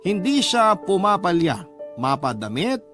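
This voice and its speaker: Filipino male radio storyteller, fairly high pitch, dramatic letter narration, clear background music. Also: sing-song, a little slow, a bit bright. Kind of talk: first-person dramatic story narration, reading a listener's letter.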